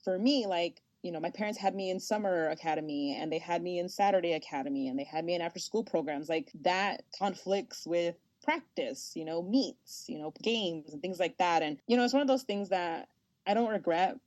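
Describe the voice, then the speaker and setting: A young woman whose first language is Spanish, a sports journalist talking casually in an interview, animated and laughing often with many fillers. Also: lowish voice, fairly quick, no echo. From United States.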